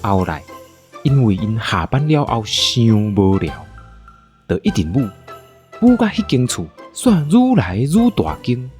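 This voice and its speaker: Lively Taiwanese woman storyteller. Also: extremely low pitch, unhurried, faint music behind. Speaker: podcast host and storyteller. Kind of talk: playful scripted story narration.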